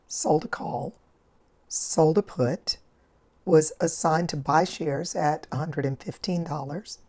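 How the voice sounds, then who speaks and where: A hoarse woman with a rough, scratchy voice, recovering from laryngitis, methodically walking through an investing trade as the channel's host. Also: deep voice. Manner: methodical, steady explaining of trade steps and figures. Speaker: financial educator and channel host. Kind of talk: screen-recorded investing walkthrough.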